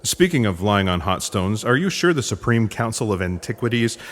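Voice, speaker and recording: A man's voice: lowish voice, very animated, fairly quick. A man acting a dry, patient intelligence agent with a wry manner in a comic audio drama.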